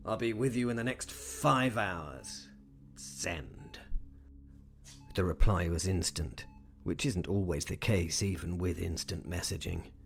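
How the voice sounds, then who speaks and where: Slow, gravelly professor. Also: male voice, deep voice, full character voice. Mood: brisk, dry. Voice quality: gravelly, husky. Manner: hesitant, musing, dryly formal. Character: weary, wry, courteous British male professor. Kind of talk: audio drama monologue.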